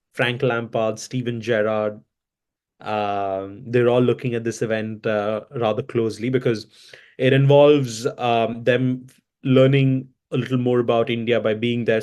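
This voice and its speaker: A hoarse man giving long, rambling interview answers, with many fillers and self-corrections. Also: medium pitch, a little mellow. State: hoarse from chanting. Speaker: interview guest, events entrepreneur.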